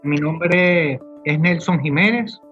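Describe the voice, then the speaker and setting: Male interviewee, forties, Venezuelan accent, slightly high voice, informal, muffled lo-fi audio with light music. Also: slow, a bit bright. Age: 40s. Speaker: garment maker. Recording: dull lo-fi voice recording.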